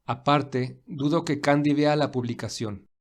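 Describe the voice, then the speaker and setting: A man playing a reserved young millionaire in an audio story, speaking in a measured, earnest, formal way. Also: medium pitch, fairly even intonation, lightly acted. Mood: firm, earnest. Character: William, reserved young millionaire, weary and principled.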